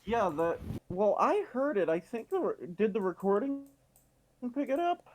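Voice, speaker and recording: A man's voice: high-pitched, very animated, moderate pace, a little mellow, rough recording. A man voicing a cheeky cartoonish mascot character who makes playful asides. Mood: curious.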